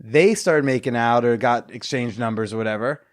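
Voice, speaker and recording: Casting: man, mid-pitched voice, easygoing playful host. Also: normal speed, no echo, studio quality. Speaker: podcast host. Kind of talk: casual interview chat.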